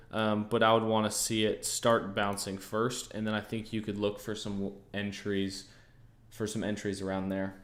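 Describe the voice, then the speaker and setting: A young American man hosting a casual livestream, easygoing and thinking aloud, often pausing on fillers and restarting his sentences. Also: fairly low pitch. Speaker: trading livestream host. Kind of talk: casual livestream chat answering viewers.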